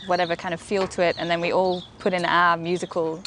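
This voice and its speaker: A woman's voice: fairly low pitch, flat delivery, quick pace, a bit bright, a little hiss or hum. A woman from the UK, a band's woodwind player, chatting in a friendly way in an interview. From United Kingdom. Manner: friendly, laughs easily. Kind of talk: casual interview chat.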